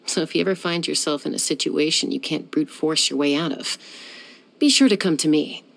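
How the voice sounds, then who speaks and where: A woman's voice: sits low, brisk, creaky, rough recording. A young woman voicing a self-assured, dryly teasing gunslinger who flies and settles disputes, in video game voice lines. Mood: cool. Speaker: game character.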